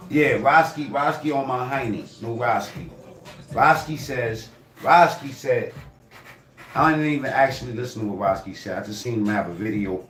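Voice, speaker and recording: A man's voice: mid-range voice, moderate pace, a bit bright. A man giving casual, joking reaction commentary on a video, loose and profane, often repeating himself. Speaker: reaction streamer. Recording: roomy echo, faint noise.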